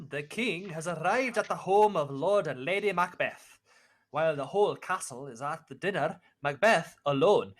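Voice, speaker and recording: A man narrating a radio play in a plain storytelling manner. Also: on the high side.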